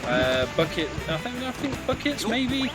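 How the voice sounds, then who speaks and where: A man's voice: very high voice, big pitch swings, a little slow, poor audio. A man co-commentating casually on a live video game run, with wry, understated asides. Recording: loud game music behind the voice. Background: loud video game music.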